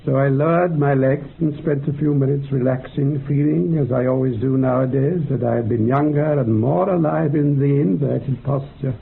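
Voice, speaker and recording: Actor as an earnest creaky-voiced mystic man. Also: mid-range voice, slight background noise, close to an everyday voice. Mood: dreamy, earnest. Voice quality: raspy, creaky. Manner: measured, literary storytelling. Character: earnest man recounting mystical meditation visions. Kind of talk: radio drama acting. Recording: phone-like lo-fi radio sound.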